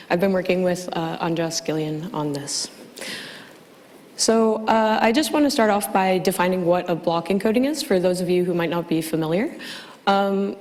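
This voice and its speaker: A woman giving an academic conference talk, a little hoarse from losing her voice, speaking with many ums and uhs. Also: on the low side, a little mellow, in a large hall. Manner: hesitant, frequent ums and uhs. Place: lecture hall.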